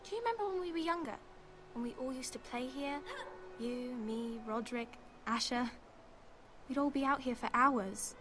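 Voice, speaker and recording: A high-pitched young noblewoman voiced by an actress from a medieval fantasy game. She is an earnest, protective sister who reassures gently, with music playing clearly behind her. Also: moderate pace, lightly acted. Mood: wistful, pleading. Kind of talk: dramatic video game voice acting.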